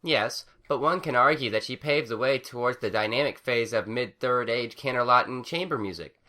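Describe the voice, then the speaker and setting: A man's voice: mid-range voice, moderate pace, sharp tone, voice pushed up, close to an everyday voice. A man voicing a refined young pegasus mare who talks in a prim, formal way.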